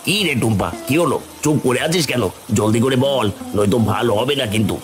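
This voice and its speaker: A man's voice: medium pitch, expressive, fast talker, over music, lightly acted. A man voicing a nosy, gossiping village henchman in a cartoon folk tale, with a theatrical, pestering manner. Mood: demanding. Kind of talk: animated Bengali folk-tale cartoon dialogue.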